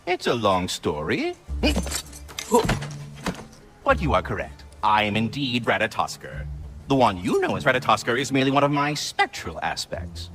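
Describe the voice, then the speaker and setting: An actor voicing a fussy, effusive talking squirrel with florid, genteel diction, like a refined gourmand in a video game. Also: male voice, mid-range voice, huge pitch swings, moderate pace, music in the background. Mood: polite, explanatory. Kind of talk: video game character acting.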